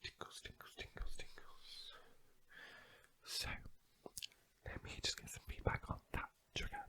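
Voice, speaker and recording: A man speaking in a soft, breathy whisper, gently guiding and reassuring in an ASMR clinic roleplay. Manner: slow, gentle, reassuring, asking for feedback. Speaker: ASMR performer playing a sleep clinic tester.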